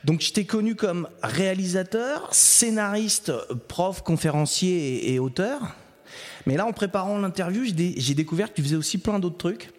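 A man's voice: high-pitched, lively intonation, moderate pace, long reverb tail. A man hosting a podcast, chatting casually and hesitantly with many fillers. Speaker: podcast host, interviewer. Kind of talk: casual interview chat.

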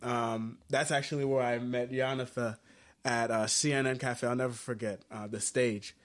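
A man's voice: medium pitch, little pitch movement, moderate pace. A man from Haiti who is a musician, talking earnestly and reflectively in a casual interview, with many fillers and restarts. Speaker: musician guest.

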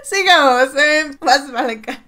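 A woman's voice: sits high, measured pace, sharp tone. A woman hosting a casual panel chat, talking expressively and often repeating her phrases. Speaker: podcast host moderating the panel.

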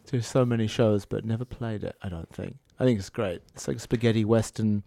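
A man's voice: on the low side, fairly even intonation, fairly quick, gravelly, warm tone, no room sound. A man hosting a radio music show, chatting casually between songs with dry jokes and many fillers. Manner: dry joking asides, hesitant fillers. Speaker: radio host.

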